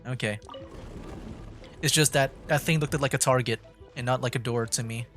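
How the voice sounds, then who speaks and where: A man streaming a game, casually commenting as he works through puzzles, thinking aloud with halting restarts. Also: medium pitch, fairly even intonation, a bit bright, soft background music.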